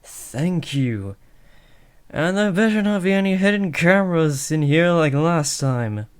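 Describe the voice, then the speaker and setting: A man's voice: on the high side, expressive, measured pace, smooth. Man acting a cocky, awkward teenage villain's son. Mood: flustered, bossy. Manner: theatrical villain taunting that slips into sheepish casual talk. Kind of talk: scripted roleplay audio addressed to the listener.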